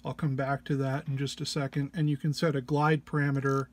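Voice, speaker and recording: A man who is under the weather gives an informal tutorial walk-through, explaining haltingly and hesitantly. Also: medium pitch, level tone. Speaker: presenter of a gear walk-through.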